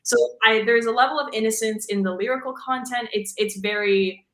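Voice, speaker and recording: A young woman guest talks casually about singing, her voice low for a woman yet bright and clear. She rambles a little and fills gaps with "like." The room around her is echoey. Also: steady tone, a little fast. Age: young adult. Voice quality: deep for a woman. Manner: chatty, fills with "like" and "um", laughs easily. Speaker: guest singer. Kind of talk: casual interview chat.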